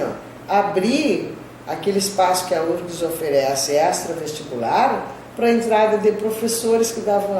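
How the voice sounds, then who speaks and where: A woman's voice: on the low side, mellow. Echoey older woman with border Gaúcho accent. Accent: Gaúcho, from the Brazilian border with Spanish terms. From southern Brazil border region. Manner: frequent "né?" tags, conversational storytelling. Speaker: geography professor interviewed. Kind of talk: reflective career interview. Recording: distant mic, echoey room, clear background noise.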